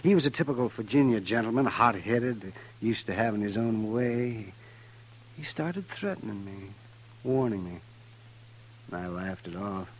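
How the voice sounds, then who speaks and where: An actor playing an aging, wry and weary frontier doctor in an old-time radio western, musing with dramatic pauses. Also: male voice, on the low side, lively intonation. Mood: weary, confessional. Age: middle-aged. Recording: noisy vintage 1950s radio recording.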